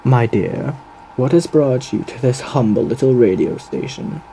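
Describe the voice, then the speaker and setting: Suave gentleman radio demon, swooping intonation, mellow dark tone, theatrical, flirtatious, faintly menacing, audio roleplay. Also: medium pitch, animated, a little slow, gravelly, slight background noise, rough recording. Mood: amused, charming. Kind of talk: theatrical ASMR character roleplay monologue.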